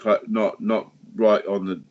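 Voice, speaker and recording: A man's voice: on the low side, flat delivery, a crowd in the background. A man with a New Zealand accent, talking casually on a livestream about his party, with lots of restarts and fillers. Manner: halting, many restarts and fillers. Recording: dull lo-fi stream audio.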